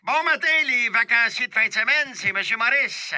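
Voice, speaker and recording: A man with a Quebec French accent voicing a folksy, crude and cheery campground manager making comic intercom announcements with drawn-out greetings. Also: very high voice, background noise, voice pushed up. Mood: cheery, scolding.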